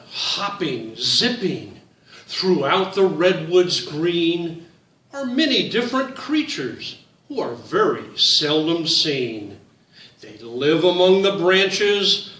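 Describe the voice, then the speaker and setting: Man reading a kids book super slow and singsongy, bright voice, echoey room, a bit far from the mic. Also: on the high side. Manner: slow, sing-song reading with drawn-out words, addresses children. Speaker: children's book author reading aloud. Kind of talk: read-aloud storytime for children.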